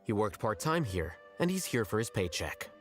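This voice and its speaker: A man voicing a composed scholar in high office in a video game, concise, detached and blunt. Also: big pitch swings, moderate pace, soft background music.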